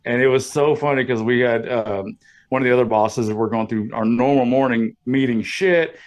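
A man's voice: medium pitch, normal speed. A man co-hosting a podcast in a casual, rambling, jokey and profane storytelling style, with a powerful voice. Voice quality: loud, powerful voice. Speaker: podcast co-host. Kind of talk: casual comedic chat, telling workplace stories.

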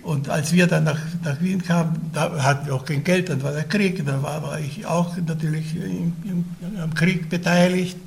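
A man in his nineties, Russian-speaking from childhood and raised in Vienna, telling his life story haltingly, with frequent pauses, restarts and throat clearing. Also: on the high side, fairly even intonation, darkish tone. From Vienna, Austria. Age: older. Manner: hesitant, many fillers and restarts. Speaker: interviewee recounting his life. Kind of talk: oral history recollection.

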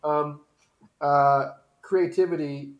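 A man's voice: a little high, steady tone, slow, muffled recording. Reflective man raised in Belgium. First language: French. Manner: reflective, rambling, many restarts. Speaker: guest. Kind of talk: casual podcast conversation.

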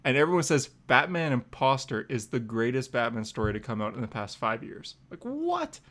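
A man's voice: medium pitch, very animated, normal speed, fairly bright tone. A man co-hosting a podcast in loose, casual chat. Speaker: podcast co-host.

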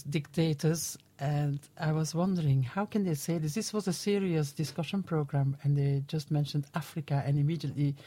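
A woman's voice: low voice, warm tone. A woman hosting a radio interview, asking questions in a conversational style.

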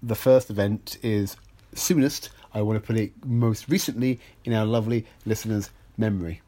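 A man's voice: fairly low pitch, steady tone, moderate pace, mellow. A British man co-hosting a podcast in a casual, chatty way, with wry, dry asides and wordy, hesitant phrasing that circles around. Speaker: podcast co-host.

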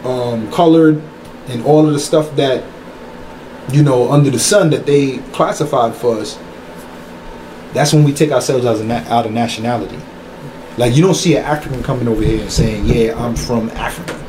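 A man's voice: medium pitch, normal speed, dark tone. A man, a guest musician, talking loosely and at length about his album, often repeating and restarting phrases. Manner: loose, rambling, repeats himself. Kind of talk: casual podcast talk. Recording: echoey room with faint noise.

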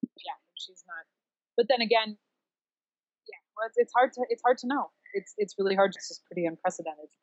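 A woman's voice: mid-range voice, brisk, dry recording, highs cut off. A woman co-hosting a podcast, musing casually and a little wryly about sports. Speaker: podcast co-host.

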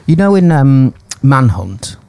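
A man's voice: medium pitch, big pitch swings, takes it slowly, vocal fry, noisy background. A man hosting a podcast in a casual, opinionated way, often checking that others agree. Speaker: podcast host.

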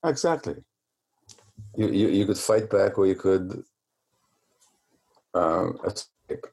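A man's voice: low voice, lively intonation, slow, gravelly, a little mellow, slightly rough. A man being interviewed who explains at length in a lecturing way, often stumbling over repeated words as he restarts. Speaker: interview guest.

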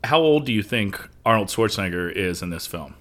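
A man's voice: lowish voice, animated, brisk, a bit bright. A man co-hosting a podcast, chatty and analytical, joking while he discusses a movie. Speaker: podcast co-host.

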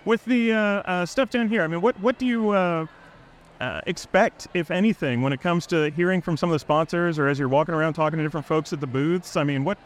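High-voiced joking podcast co-host man. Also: brisk. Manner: friendly, jokey, with fillers. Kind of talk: casual live interview. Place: outdoor event fair.

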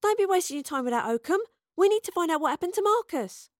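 Woman playing feisty streetwise young woman, pitched up. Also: big pitch swings, moderate pace, dry recording, studio quality. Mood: blunt, defiant. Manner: colloquial, insistent, indignant.

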